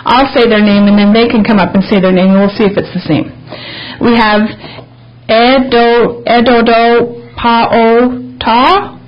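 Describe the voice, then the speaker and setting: A woman's voice: on the high side, fairly even intonation, a little slow. Friendly board chair, woman, chatty, um-heavy, muffled meeting-room recording. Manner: friendly, chatty, with many ums. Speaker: school board president. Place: district office meeting room. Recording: dull meeting-room audio.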